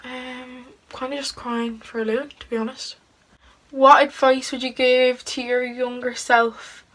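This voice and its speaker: Bright-voiced teenage girl vlogger. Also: sits high, flat delivery, unhurried. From Ireland. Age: teens. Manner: chatty, giggly, lots of "like" fillers. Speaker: beauty vlogger. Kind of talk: casual get-ready-with-me chat.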